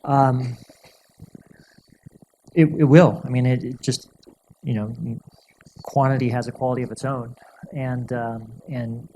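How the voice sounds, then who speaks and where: A male guest speaker answering audience questions in a measured, thoughtful way, often restarting and repeating words. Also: medium pitch, mellow, slight background noise, low-quality recording. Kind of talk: lecture Q&A answers.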